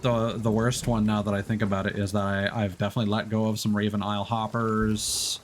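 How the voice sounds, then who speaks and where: A man's voice: on the low side, flat delivery, fairly quick, slight background noise. A man casually commenting on his gameplay as a streamer, chatting through tips with many fillers.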